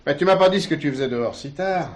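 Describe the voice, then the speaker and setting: Actor as a brusque innkeeper, swooping voice, echoey. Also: male voice, on the high side, expressive, quick pace, lightly acted. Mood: offhand. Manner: brusque, colloquial, muttering. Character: gruff, bossy innkeeper father, falsely polite to guests. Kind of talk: French television drama acting. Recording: echoey room, clearly noisy.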